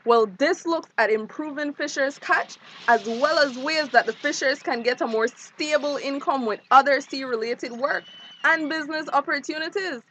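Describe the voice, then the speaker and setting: Earnest female fisheries worker, acted, high-pitched, polite explanatory delivery, naturalistic drama with slight noise. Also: moderate pace, fairly bright tone, poor audio. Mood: interested. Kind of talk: naturalistic radio drama acting.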